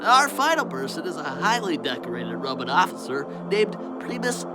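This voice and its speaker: A man's voice: fairly high pitch, big pitch swings, normal speed, loud music behind the voice. A man playing an officious, self-important angel squad leader who talks formally and wordily in a family audio drama. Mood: businesslike, huffy. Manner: pompous, formal, wordy.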